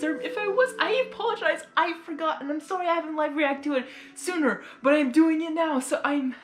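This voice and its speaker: High-voiced animated woman reacting. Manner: excitable, gushing. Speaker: reaction video host. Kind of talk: casual live reaction chat.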